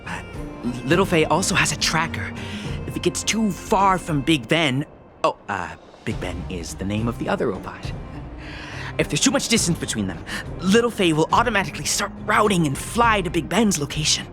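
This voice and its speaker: A man's voice: a little high, big pitch swings, moderate pace, over music. A man playing a worried, earnest townsperson in a video game, hesitant and thinking aloud. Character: worried, hesitant townsperson who lost robots. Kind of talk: scripted game voice acting.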